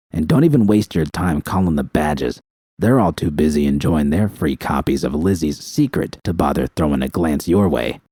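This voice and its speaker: A man's voice: low voice, mellow, breathy edge, no room sound, clean studio sound, voice pushed down. A man voicing a menacing male gangster in an audiobook, gloating and taunting as he drawls out threats. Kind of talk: dramatic audiobook character reading.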